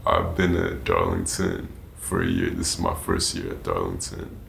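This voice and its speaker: A man's voice: a bass voice, sing-song, normal speed, vocal fry, fairly bright tone. A teenage male student with a Nigerian accent giving reserved answers as an interview guest. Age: teens. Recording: roomy echo, background noise.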